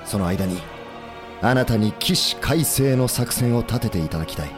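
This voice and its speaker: A man's voice: mid-range voice, animated, normal speed, over music, close to an everyday voice. A male actor playing an earnest, polite and idealistic rebel army leader in a historical audio drama. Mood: resolute.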